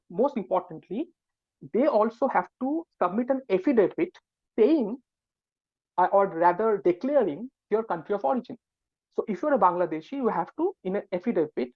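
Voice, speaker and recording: Man, researcher, methodical explainer, hesitant pauses, muffled video call audio, dry sound. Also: high-pitched, no echo. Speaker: academic researcher and panelist. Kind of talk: explanatory webinar talk.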